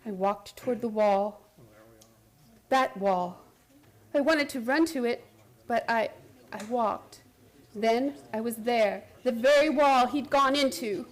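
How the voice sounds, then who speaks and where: A high-pitched actress playing a woman patient in a radio play, haunted and obsessed. She recounts her strange story with theatrical intensity and an urgent, frantic edge. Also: slow, long pauses. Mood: tense, secretive. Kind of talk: radio play acting, first-person dramatic narration.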